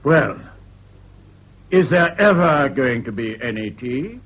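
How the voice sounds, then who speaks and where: A man's voice: mid-range voice, very animated, slow pace, fairly bright tone, full character voice. Domineering English father, wildly swinging voice. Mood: gruff, irritable. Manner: gruff, hectoring, barking. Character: domineering, irascible middle-class father, bullying his young son. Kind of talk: 1970s BBC radio drama acting. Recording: dull, noisy old radio recording.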